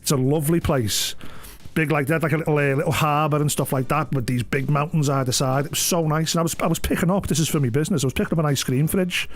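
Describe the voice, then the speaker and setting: A man's voice: on the high side, fairly even intonation, fast. A man with an English accent chatting casually on a stream, telling rambling, sweary anecdotes. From England. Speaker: game live streamer. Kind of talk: casual chat, animated anecdotes. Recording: music behind the voice. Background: music.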